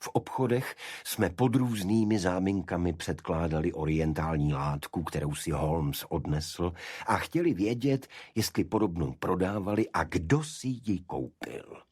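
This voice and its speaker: A man reading a detective story as a scripted audiobook narrator, in a measured literary manner. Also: deep voice, lively intonation, a little mellow, slightly rough, dry recording, clean studio sound.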